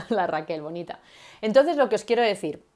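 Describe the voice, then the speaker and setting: Lively woman coaching viewers. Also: mid-range voice, normal speed. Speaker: communication coach. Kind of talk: live-stream teaching talk.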